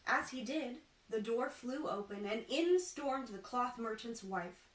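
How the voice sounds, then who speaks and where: A woman storyteller reading a children's picture book aloud with careful, deliberate narration. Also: a little high, animated. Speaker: storyteller in princess character. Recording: roomy echo, distant microphone.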